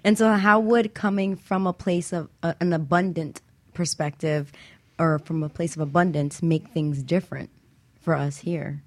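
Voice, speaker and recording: A woman with a low voice hosts a discussion show. She poses questions conversationally, and the sound around her voice is dry. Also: fairly even intonation, moderate pace, dry recording. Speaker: radio show host.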